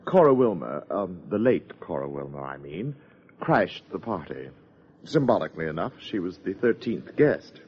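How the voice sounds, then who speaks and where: An actor playing a pompous, sardonic party host in a 1950s radio drama, wordy and theatrical. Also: male voice, low-pitched, very animated, moderate pace, slightly rough, full character voice. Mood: smug, hesitant. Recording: dull old radio recording.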